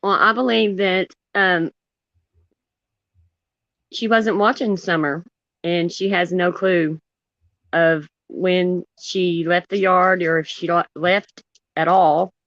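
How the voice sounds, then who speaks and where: A woman's voice: on the low side, fairly even intonation, slow, long pauses, fairly bright tone, no room sound. Chatty woman caller on a dull line. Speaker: guest caller. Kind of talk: casual chat.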